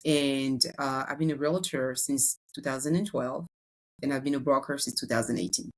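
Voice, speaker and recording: A woman's voice: a bass voice, steady tone, moderate pace, poor audio. A woman from Congo, raised in France, with a French accent, talking at length in a podcast interview as a real estate broker, with many fillers and restarts.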